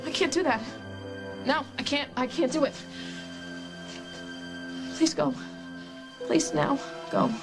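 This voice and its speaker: A very high-pitched woman's voice plays a proud, ashamed soap opera heroine who is frightened of ageing fast. She pleads haltingly and emotionally. Dramatic TV music plays prominently under her words. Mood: pleading, insistent.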